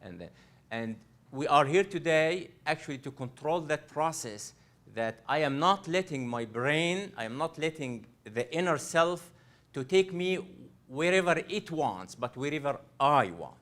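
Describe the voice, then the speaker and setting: A man's voice: on the high side, animated, measured pace, few pauses, fairly bright tone. A man from Egypt, a coach presenting a live workshop to an audience. He speaks earnestly, often restarting and repeating phrases as he addresses the room directly. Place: library event room.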